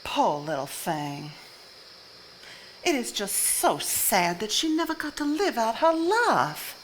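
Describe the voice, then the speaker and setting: An actress playing a wistful, tender 1950s wife in a live stage play, speaking affectionately. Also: female voice, a little high, very animated, slow, low-quality recording. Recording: live stage recording with faint noise.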